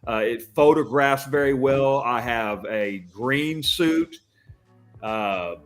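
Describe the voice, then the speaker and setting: Booming older man, chatty and loud. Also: slow pace, soft background music. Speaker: guest, professional Santa. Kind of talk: casual podcast chat.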